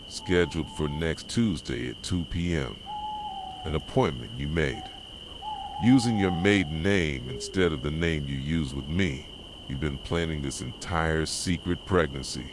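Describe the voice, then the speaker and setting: A man acts out a possessive, heartbroken boyfriend in an ASMR roleplay, confronting his partner. His voice sits very low, and he speaks with intense, pleading accusation. Clear background noise of night insects runs behind him. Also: heavily acted. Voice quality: soft voice. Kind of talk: scripted ASMR roleplay monologue addressed to the listener.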